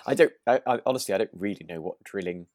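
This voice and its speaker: A man co-hosting a relaxed podcast, agreeable and a little rambling and hesitant as he talks. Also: on the low side, fast, no room sound, clean studio sound. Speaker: podcast co-host. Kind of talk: relaxed casual discussion of a radio drama.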